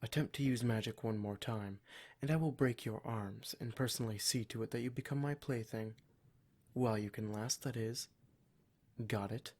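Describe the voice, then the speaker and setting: A man's voice: mid-range voice, moderate pace, a little mellow, close to an everyday voice. A man playing a cruel, smug demon in a scripted ASMR roleplay, speaking in a formal, mock-polite and menacing way. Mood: threatening. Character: cruel, smug male demon, taunting captor of adventurers.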